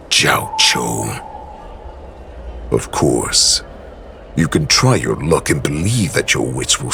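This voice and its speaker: An actor voicing a menacing male wolf-beast warlord in a video game cutscene, taunting and threatening in a slow, gravelly voice. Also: a bass voice, sharp tone, a little hiss or hum, exaggerated voice. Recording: game cutscene with music behind.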